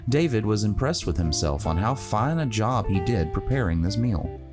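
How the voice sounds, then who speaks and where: A man's voice: fairly low pitch, animated, normal speed. A man narrating a scripted fantasy story, with plucked guitar music playing behind him.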